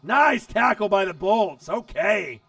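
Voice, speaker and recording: A man hosting a casual live stream while watching football, chatty and excitable, his voice hoarse from losing it. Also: high-pitched, slow, no room sound, distant mic. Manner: excitable, chatty, talks straight to viewers.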